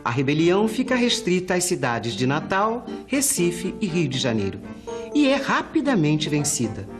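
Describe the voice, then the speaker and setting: Female Brazilian documentary narrator, formal read, lively intonation, clear music bed underneath. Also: on the low side, moderate pace. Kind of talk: scripted historical narration. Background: prominent background music.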